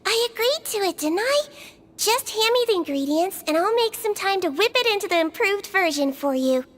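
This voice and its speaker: A woman voicing a small, kind, unfazed nonhuman head nurse in a video game, gentle, patient and playfully matter-of-fact. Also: very high voice, expressive, normal speed, a little hiss or hum. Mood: friendly, encouraging. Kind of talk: English video game voice acting.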